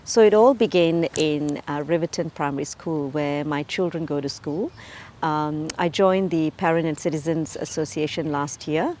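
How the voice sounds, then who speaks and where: A measured woman in her late thirties with a fairly low voice talks about her community work. She speaks earnestly and steadily, often pausing on um and uh, over a faint hiss of background noise. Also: darkish tone. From Malaysia. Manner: measured and earnest, with frequent um and uh fillers. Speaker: community cultural ambassador. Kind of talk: community profile interview.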